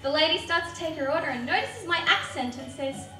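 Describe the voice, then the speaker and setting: A young woman with a very high voice tells a wry, animated story on a theatre stage. She is heard from some distance in a roomy hall, with music playing behind her. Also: a little fast. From Australia. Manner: wry, animated, acts out other people's words. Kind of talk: personal story told on stage.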